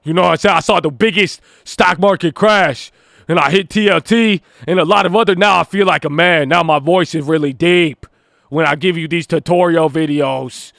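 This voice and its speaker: A man joking in a put-on deep voice, playing a boastful grown-up version of himself. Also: moderate pace, vocal fry, a bit bright, no echo, studio quality. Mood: mock swagger.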